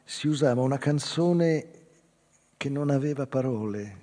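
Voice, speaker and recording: An actor playing a melancholy old whaler in a measured, reflective theatrical monologue about his life. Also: medium pitch, dark tone, close to an everyday voice. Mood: wistful. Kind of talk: literary dramatic monologue. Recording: reverberant stage hall, guitar music behind. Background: live guitar music.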